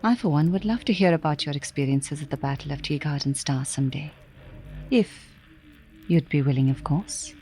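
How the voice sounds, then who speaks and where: A highborn, enigmatic scientist with a lowish, soft-toned mellow voice. She speaks formally and politely, as in a science fiction radio play. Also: moderate pace. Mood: courteous, curious. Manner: formal, courteous. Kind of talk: audio drama acting.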